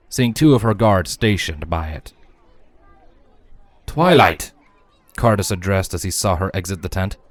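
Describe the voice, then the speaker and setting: A man's voice: deep voice, dry recording. A man reading scripted narration for a fantasy fan fiction story.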